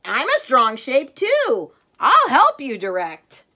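A woman plays an eager little storybook shape who badly wants to be the star. The voice is high and bright, showy and insistent, with big swings in pitch. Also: wildly swooping pitch, slow, full character voice. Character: pushy, boastful, eager trapezoid shape wanting stardom.

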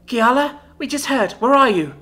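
A woman's voice: medium pitch, big pitch swings, fairly quick, fairly bright tone, voice pushed up. A woman voicing a panicked, tender mother holding back sobs in an audiobook. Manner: anxious, tender. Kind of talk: audiobook character acting.

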